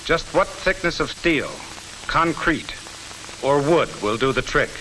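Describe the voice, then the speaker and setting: Forceful male voice, faint hiss, mid-century training film narration, blunt, folksy, punchy. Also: a little high, expressive, measured pace, rough recording. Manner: blunt, punchy, addressing the listener directly.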